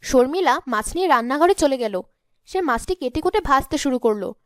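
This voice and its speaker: A woman's voice: high voice, expressive, a little fast, dry recording, clean studio sound. A woman narrating a scripted cartoon story as the storyteller.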